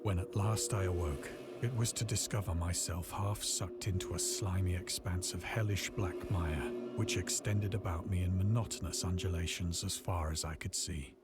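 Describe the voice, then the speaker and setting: Loud music plays under a very low male voice. An actor plays a haunted, despairing castaway who recounts his horror as formal, literary narration in a visual novel. He sounds grave throughout. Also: lightly acted. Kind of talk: scripted dramatic narration.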